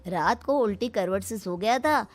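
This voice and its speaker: A woman's voice: mid-range voice, lively intonation, normal speed, close to an everyday voice. A woman voicing a lazy, grumbling young son-in-law in a cartoon story, whiny and evasive. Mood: whiny excuses. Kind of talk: Hindi cartoon story dialogue. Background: background music.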